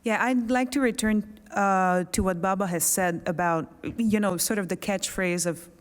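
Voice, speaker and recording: A woman's voice: mid-range voice, steady tone, normal speed. A woman from Hungary moderating a panel, asking probing questions in a conversational way. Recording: long hall reverb.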